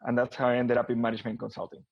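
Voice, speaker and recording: A man's voice: medium pitch, monotone, very fast, vocal fry, no room sound, highs cut off. A man from Argentina with an Argentine Spanish accent, talking as an interview guest about his career, with many restarts and repeated words. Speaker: guest, business consultant. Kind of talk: casual interview conversation.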